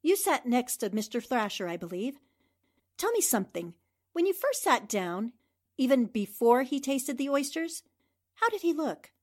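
A woman's voice: high voice, big pitch swings, moderate pace, darkish tone. A woman playing a confident, probing newspaper reporter in a 1940s radio drama, incisive and assured.

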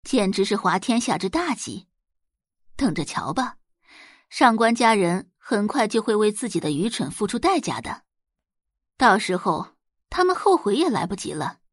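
A woman narrates a drama from a script. Her delivery is highly animated, with a husky, breathy edge and a close, dry recording. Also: moderate pace, no room sound. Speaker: audiobook narrator.

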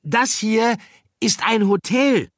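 A man's voice: high-pitched, slow pace, no room sound, studio quality, pitched above the performer's own voice. A man voicing a small, timid young female mouse for a children's audiobook, speaking in a courteous, careful manner. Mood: gently correcting.

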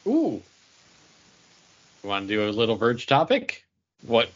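A man's voice: medium pitch, huge pitch swings, a little slow, dull audio. A man co-hosting a podcast in loose, casual conversation with the panel. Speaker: comics podcast co-host.

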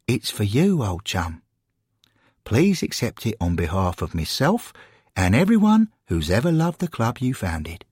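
Male narrator as old-fashioned gentlemanly friend, husky, earnest, grateful, warm, lively intonation, storybook character. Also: lowish voice, measured pace, dry recording, studio quality, exaggerated voice.

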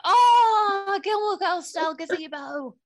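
A woman's voice: very high-pitched, lively intonation, slow, no echo, clean studio sound. A woman co-hosting a podcast, chatting casually about books with emphatic enthusiasm. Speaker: podcast co-host. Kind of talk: casual book chat.

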